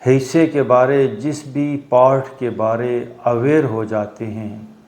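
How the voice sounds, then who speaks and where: Slow-paced man guiding meditation, echoey room. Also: mid-range voice, steady tone, a little mellow. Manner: instructional, with long pauses between short phrases. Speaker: yoga and meditation teacher. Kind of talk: guided meditation. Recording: roomy echo on the voice.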